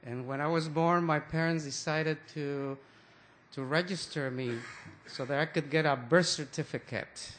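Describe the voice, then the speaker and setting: Older man, fairly high voice, slow, halting, restarts often, church talk. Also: fairly even intonation, a bit bright, slight background noise. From Mexico. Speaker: guest church speaker. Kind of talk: anecdotal sermon talk.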